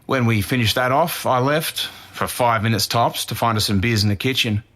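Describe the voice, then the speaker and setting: Australian suspect, twenties, mid-pitched, blunt and defensive but earnest, naturalistic audio drama. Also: male voice, moderate pace, pushed and bright. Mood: casual, reminiscing. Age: 20s. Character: defensive young Australian man, a murder suspect giving an interview.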